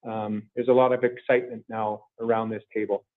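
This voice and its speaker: Male corporate executive, low register, unscripted earnings-call answers with repeated words, thin phone-band sound. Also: flat delivery, fairly quick, narrow, tinny audio. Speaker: company executive answering analyst questions. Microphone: conference call line.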